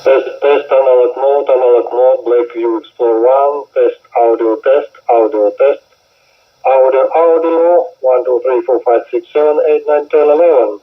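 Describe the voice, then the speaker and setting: A man's voice comes through a tinny, narrow two-way radio, sounding distant and rough. He gives a microphone test in short, repetitive phrases. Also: medium pitch, normal speed. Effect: walkie-talkie radio transmission.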